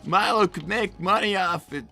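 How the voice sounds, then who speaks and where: A man's voice: sits high, moderate pace, creaky, pushed and bright, faint music behind, heavily acted. A man voicing a flustered, defensive young cartoon fox boy with a cracking voice and a stammering, exasperated manner, in an improvised comedy livestream. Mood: reluctant placating. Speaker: livestream co-host in character.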